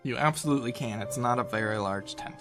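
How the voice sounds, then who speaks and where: Male game master, conversational and playful, improvising narration over clearly audible music. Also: mid-range voice, fast talker. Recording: music bed behind the voice.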